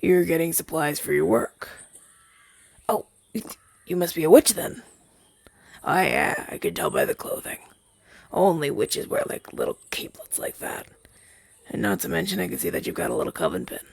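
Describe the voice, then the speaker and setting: A man's voice: fairly high pitch, huge pitch swings, moderate pace, creaky, pauses often, no room sound, exaggerated voice. A young man playing a chatty, down-on-his-luck crow demon, once a witch's familiar, in a hesitant, stammering roleplay audio drama. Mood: curious, friendly.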